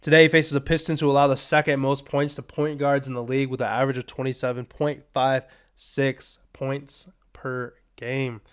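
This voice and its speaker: A man hosting a sports betting podcast in a loose, rambling, casual way, his voice a little raspy and strained as he is under the weather. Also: medium pitch, level tone, normal speed. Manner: rambling, loose, filler-heavy asides. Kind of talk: casual unscripted betting picks talk.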